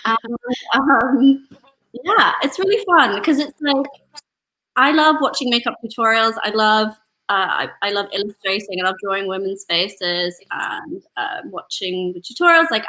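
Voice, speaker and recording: Woman from Kenya, guest comic, bright tense voice, slightly higher pitch, giggly chat. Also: a little slow, poor audio. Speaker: comedian and guest. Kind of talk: casual chat.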